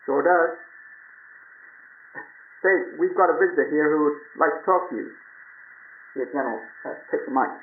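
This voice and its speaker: A man's voice: a little high, normal speed, pauses often, slight background noise. An actor playing a folksy male space crewman talking over a radio link. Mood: matter-of-fact. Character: male American astronaut and mission controller, folksy. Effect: radio filter. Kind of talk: radio transmission acting. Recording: tinny, echoey 1970s space radio.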